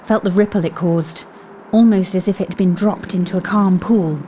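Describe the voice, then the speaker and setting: Lady playing a calm time agent in an audio drama, soft dark tone, composed, little bit of background noise. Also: mid-range voice, normal speed, natural voice. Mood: focused.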